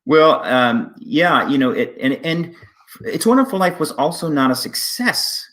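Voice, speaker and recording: A man hosting a casual interview, speaking haltingly and often restarting his sentences. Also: a little high, big pitch swings, fairly bright tone. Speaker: interview host, theater artistic director.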